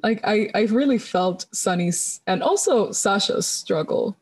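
A woman with an ordinary mid-range voice talks through a book with her co-host. She speaks in a measured, sincere way, keeping an even tone. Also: medium pitch, slightly rough. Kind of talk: casual book discussion.

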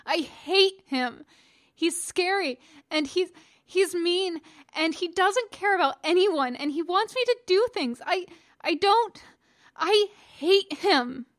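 Woman voicing a sobbing young boy, high and pleading. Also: expressive, slow, no room sound, studio quality, full character voice. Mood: sobbing anger. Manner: tearful, pleading, high and pressing.

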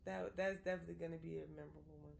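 A woman's voice: low voice, steady tone, racing, vocal fry, darkish tone. A young woman co-hosting a podcast, frankly telling profane stories in a casual chat full of "like". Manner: frank, profane, storytelling with lots of "like". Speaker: podcast co-host.

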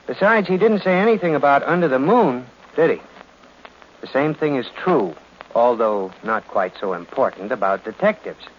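An actor playing a glib, wry detective's assistant who narrates and needles in old radio drama. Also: male voice, mid-range voice. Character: breezy, wisecracking male assistant and narrator of detective. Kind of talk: 1940s radio acting. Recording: muffled old radio recording, faint noise.

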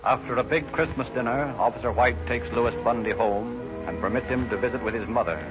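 An American man announcing and narrating in formal, measured 1930s radio style. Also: mid-range voice, music in the background. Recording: muffled, noisy 1930s radio broadcast.

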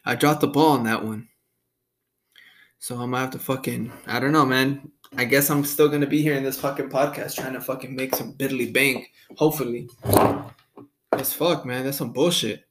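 A man's voice: medium pitch, noisy background. A young man hosting a casual solo podcast, rambling and swearing freely in a laid-back way. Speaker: podcast host.

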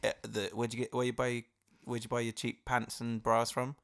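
A man's voice: mid-range voice, monotone, normal speed, vocal fry, a bit bright, smooth. A man from the Cardiff area co-hosting a casual chat, cracking jokes and puns. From Cardiff area, Wales. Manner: joking, wordplay. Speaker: podcast co-host. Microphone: headphones on, studio mic.

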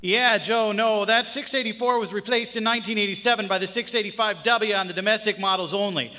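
A man's voice: sits high, fairly even intonation, bright, forceful tone. A man playing a matter-of-fact, know-it-all auto parts clerk in a live audio comedy, blunt and folksy in manner. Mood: brisk, businesslike. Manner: brisk jargon, blunt, folksy. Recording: live stage, long hall reverb.

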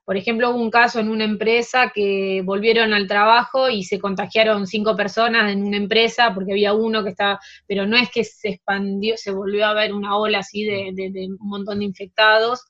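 A woman with an Argentine Spanish accent answering interview questions in a relaxed, halting way, full of fillers and restarts. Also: mid-range voice. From France. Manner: hesitant, many fillers and restarts. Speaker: table tennis player, interview guest. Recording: dull lo-fi sound.

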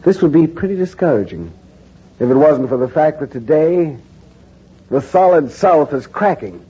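An actor playing a reporter who narrates his own ordeal in measured, grave first person, in a 1940s radio drama. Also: on the high side, lively intonation, mellow, slightly rough. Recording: dull 1940s radio broadcast recording with music.

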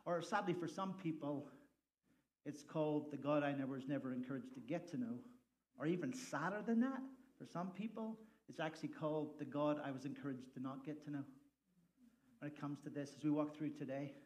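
A man's voice: on the high side, fairly quick. A man, a pastor, opening a church service with jokes, then speaking in a chatty, casual way. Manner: joking, chatty, loud laughing asides. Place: church sanctuary.

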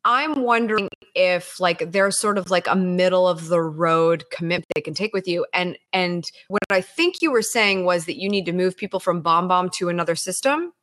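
A woman's voice: lowish voice, normal speed, hardly any pauses, a bit bright. A woman coaching a client on marketing, asking probing questions and thinking aloud with many "like"s. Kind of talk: casual coaching conversation.

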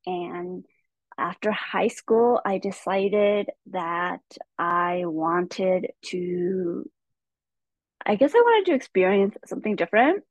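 Soft-spoken hesitant young woman, dry sound. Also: on the low side, animated, slow pace, no echo, dull audio. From Stockton, California. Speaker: guest. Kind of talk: telling her life story in an interview.

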